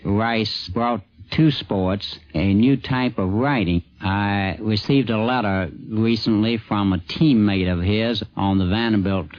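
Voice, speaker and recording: A man giving halting, thoughtful recollections in an interview. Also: on the low side. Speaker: newspaper sports editor. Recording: dull 1950s radio tape.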